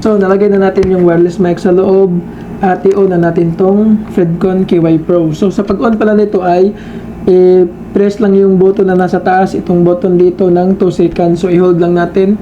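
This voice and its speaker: A man reviews a product in a casual, hands-on way. His voice is mellow and stays fairly flat in pitch. He speaks haltingly, often repeating a word while looking for the next, with faint noise behind him. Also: highs cut off.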